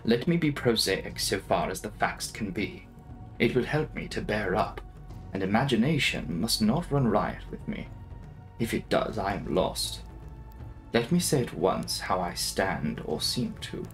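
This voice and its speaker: Gravelly, expressive male audiobook narrator. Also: lowish voice, unhurried, soft background music. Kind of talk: dramatic reading of a gothic novel.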